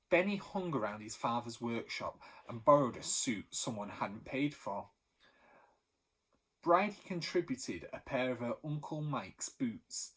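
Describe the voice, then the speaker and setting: Husky-voiced teacher guy reading a chapter book, medium pitch, lively and expressive. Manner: lively storytelling with animated emphasis. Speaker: primary school teacher. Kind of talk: reading a children's novel aloud.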